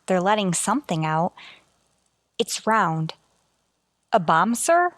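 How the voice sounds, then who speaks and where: A woman's voice: on the low side, big pitch swings, slow pace, a bit bright, a little hiss or hum, exaggerated voice. A woman playing an eager, brave and deferential spaceship officer in a sci-fi radio drama, reporting in a clipped, respectful military way. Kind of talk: golden age sci-fi radio drama acting.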